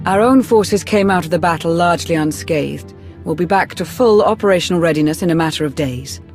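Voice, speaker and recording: An actor voicing a composed, dryly witty military officer in a video game, with formal, precise speech. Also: male voice, on the high side, fairly quick, a little mellow, soft background music, close to an everyday voice. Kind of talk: video game cutscene acting.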